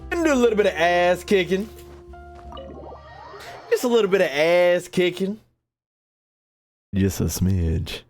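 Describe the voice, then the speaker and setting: Animated young American man streaming games. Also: high-pitched, unhurried, long pauses, soft background music. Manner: joking, slangy, profane, addresses chat. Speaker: gaming streamer. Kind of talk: casual live gameplay commentary.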